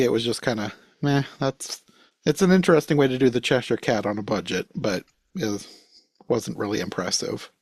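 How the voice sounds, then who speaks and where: A man with a somewhat low voice hosts a casual review conversation. He talks informally as a podcast host. The recording is very dry, with no room sound. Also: gravelly, darkish tone, slightly rough, dry recording.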